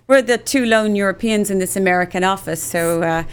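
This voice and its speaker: A woman's voice: medium pitch, brisk, clean tone. A woman in her late forties from Ireland with a Cork accent, hosting a live-streamed show in a chatty, rambling way with many fillers. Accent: Irish, Cork. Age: 40s. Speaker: host. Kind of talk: live-streamed casual chat.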